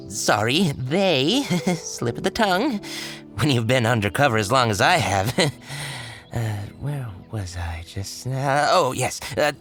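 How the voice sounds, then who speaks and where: An actor playing a slippery, evasive underworld informant in a video game, knowing and a little halting as he explains. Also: fairly high pitch, fairly bright tone. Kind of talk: video game character acting.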